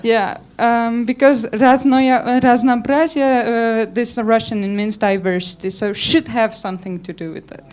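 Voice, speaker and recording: A woman's voice: a little high, fairly even intonation, smooth. A woman reading out notes to a conference panel, careful and amused as she works through foreign words. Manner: slow, careful, amused by pronunciations. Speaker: rapporteur.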